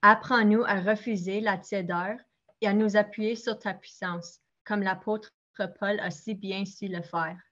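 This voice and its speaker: A woman's voice: mid-range voice, steady tone, unhurried, fairly bright tone, dull audio. A woman, reading a prayer and telling about herself in a plain, straightforward way. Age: 20s. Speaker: nurse, interview guest. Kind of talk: prayer reading and interview answers.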